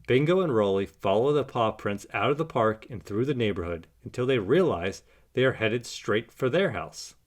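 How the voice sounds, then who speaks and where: A man narrating a children's storybook aloud in an animated read-aloud style. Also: medium pitch, moderate pace, hardly any pauses. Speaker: storybook reader.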